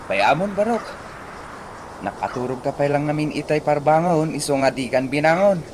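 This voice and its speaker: An actor playing a weary, fond ageing uncle in a village radio comedy drama. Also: male voice, on the high side, animated, moderate pace, lightly acted. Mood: calling out. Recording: noisy radio drama, birdsong ambience behind.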